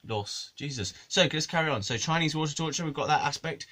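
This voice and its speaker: A man's voice: fairly high pitch, a little fast, sharp tone. A man, a musician rambling through a comic monologue to camera, swearing and laughing at his own jokes. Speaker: musician and video maker.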